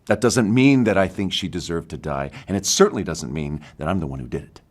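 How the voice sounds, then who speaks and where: A condescending murder suspect in an audio drama, with a very low voice. He is sardonic and evasive, wrapping his denials in mock politeness and the odd stammer. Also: expressive, brisk. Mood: defensive, condescending.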